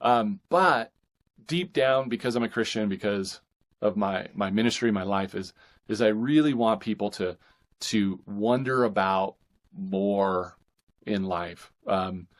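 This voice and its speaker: A man with a fairly low voice talks as a guest author in a casual interview. His phrasing is thoughtful and halting, feeling its way through each answer.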